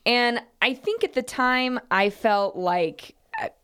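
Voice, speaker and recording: Animated woman telling a casual story. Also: a little high, takes it slowly, clean tone. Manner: animated, acts out dialogue, frequent hedging. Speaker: podcast co-host telling a workplace story. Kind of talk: casual chat, anecdote telling.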